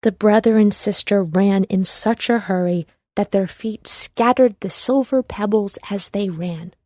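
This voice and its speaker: Female narrator for kids' read-along story: measured, slow delivery, mellow tone. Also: mid-range voice, dry recording, studio quality. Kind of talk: scripted children's storybook narration.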